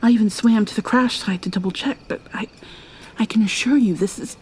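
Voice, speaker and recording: A woman voicing a gentle young mermaid in an ASMR roleplay, speaking softly and hesitantly, often in a whisper. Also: on the high side, brisk, slightly rough, low-quality recording. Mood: shy.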